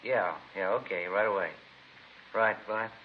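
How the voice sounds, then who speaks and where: An actor playing a plain-spoken, conversational police detective in a 1950s radio crime drama. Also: male voice, fairly low pitch, a little slow, lightly acted. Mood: businesslike. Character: matter-of-fact male police detective, sergeant's partner. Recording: muffled vintage radio recording, faint noise.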